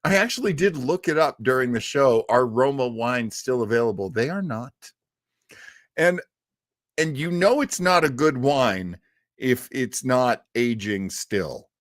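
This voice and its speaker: A man hosting a show who chats casually and rambles, laughing as he goes. His pitch moves about a lot, and he talks at a slow pace. The sound is dry and close. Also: expressive, no echo. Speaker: podcast host. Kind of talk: casual chat.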